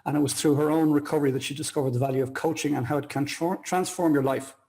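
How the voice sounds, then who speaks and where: Male talk show host, fairly high voice, effusive and complimentary in casual conversation. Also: fairly even intonation, fast talker, a little mellow. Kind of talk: casual online interview chat.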